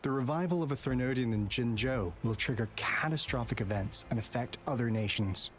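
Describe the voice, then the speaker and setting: An actor playing an earnest male researcher in a video game, explaining in a measured way. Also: mid-range voice, natural voice. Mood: serious, explaining. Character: earnest male researcher briefing allies on looming disaster. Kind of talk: scripted game dialogue. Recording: game music behind voice.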